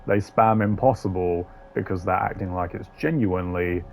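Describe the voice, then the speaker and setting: A man's voice: sits low, normal speed, creaky, a little hiss or hum. A man hosting a gaming video with casual, wry, sardonic commentary and some swearing. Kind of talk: casual tier-list commentary.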